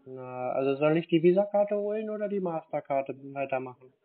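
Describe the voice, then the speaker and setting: A man making a prank phone call as a naive victim, giving terse, plodding, deadpan answers. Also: a little high, brisk. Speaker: caller posing as a naive victim. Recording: tinny phone line.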